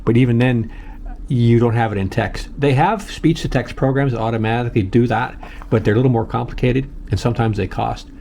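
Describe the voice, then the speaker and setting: An older American man giving a casual, unscripted tutorial in a halting, plain-spoken way, often repeating himself. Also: mid-range voice, creaky, dark tone, a little hiss or hum. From United States. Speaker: host of a how-to video channel.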